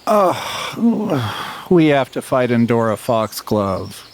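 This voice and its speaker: A man playing a hesitant, teasing adventurer in a fantasy audio drama, with a halting colloquial manner. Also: medium pitch, big pitch swings, exaggerated voice. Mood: sheepish, hesitant.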